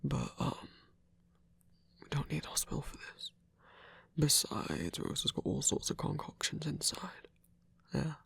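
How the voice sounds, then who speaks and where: A man voicing a gruff but caring male werewolf lover in an ASMR romance roleplay, with a British accent, a raspy half-whisper and a casual, coaxing manner. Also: fairly high pitch, big pitch swings, pushed and bright, no echo, full character voice. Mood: practical, reassuring. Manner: casual, coaxing, hesitant pauses.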